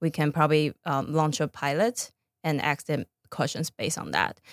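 Woman, Taiwanese accent, low voice, startup founder as podcast guest, very dry recording. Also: lively intonation, normal speed, no echo. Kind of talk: conversational interview answers.

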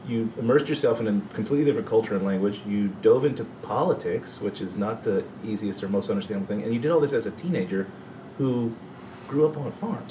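A man's voice: fairly low pitch, fast talker. A man interviewing a guest in a casual, conversational style. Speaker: interviewer, show host. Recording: distant, echoey, noisy room.

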